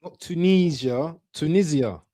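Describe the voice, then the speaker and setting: Man, mellow voice, casual football banter, shout-outs, dry video call audio. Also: on the high side, unhurried, no echo. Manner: relaxed, joking, gives shout-outs. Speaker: co-host on the stream. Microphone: video call, camera off.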